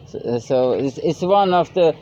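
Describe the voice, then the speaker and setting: A man's voice: on the high side, expressive, dark tone, a little hiss or hum. A middle-aged Norwegian man in casual outdoor chat, speaking English with a Norwegian accent and often stopping to search for words. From Norway. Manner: halting, searching for English words with many restarts. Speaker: vlogger. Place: outdoors by a river. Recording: slightly dull lo-fi recording.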